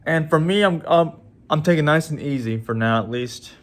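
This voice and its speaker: A man in his twenties talking casually to his viewers in a halting way, with many restarts and repeated words. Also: on the high side. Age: 20s. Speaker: vlogger. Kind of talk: casual vlog talk to viewers.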